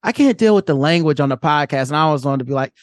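A man's voice: on the high side, lively intonation, very fast, no echo, studio quality. An American man in his forties hosting a casual podcast, blunt and free with swearing. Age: 40s. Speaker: podcast host. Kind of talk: casual chat.

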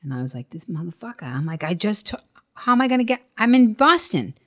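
A woman's voice: medium pitch, sing-song, fast talker. A middle-aged woman telling anecdotes as a podcast guest. She is blunt and emphatic, swears freely and acts out quoted dialogue. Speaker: guest, stand-up comedian. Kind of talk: animated anecdotal storytelling in a podcast interview.

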